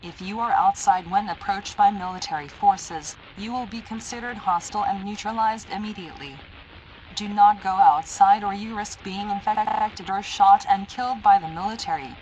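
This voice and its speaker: A synthetic female voice playing a stern, impersonal emergency alert announcer, reading formal, deliberate warnings in an analog horror broadcast. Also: medium pitch, darkish tone, a little hiss or hum, natural voice, low-quality recording. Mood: stern, urgent.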